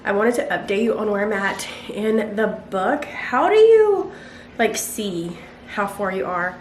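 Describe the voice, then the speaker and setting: Young Southern American woman for a home vlog; chatty, slangy, rambling delivery, ordinary mid pitch, with household noise behind her. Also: animated, unhurried. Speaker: book and craft vlogger. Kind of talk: casual vlog chat.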